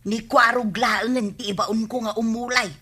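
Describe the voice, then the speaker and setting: A woman's voice: on the high side, steady tone, measured pace, sharp tone, slightly rough, heavily acted. A woman playing a cheeky, thrifty village neighbor in an Ilocano comedy radio drama, exclaiming and drawing out her banter in an Ilocano accent. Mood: breezy, scheming.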